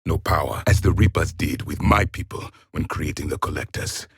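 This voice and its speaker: Casting: stern alien warrior, game character, disdainful formal delivery, slightly husky, very dry studio sound. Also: male voice, extremely low pitch, no room sound, full character voice. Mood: cold, disdainful. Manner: haughty, disdainful, formal measured speech. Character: proud, stern alien warrior, last of an ancient race. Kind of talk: video game character voice lines.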